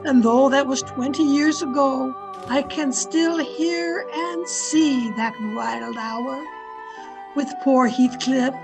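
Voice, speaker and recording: A woman's voice: high-pitched, low-quality recording. A woman playing an older, haunted housekeeper telling her tale in a dramatic, earnest old-time radio style. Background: music.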